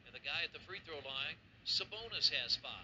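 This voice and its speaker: High-voiced man calling a basketball game. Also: expressive, lo-fi sound, heavy background noise. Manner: brisk play-calling. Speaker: basketball play-by-play commentator. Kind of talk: broadcast sports commentary.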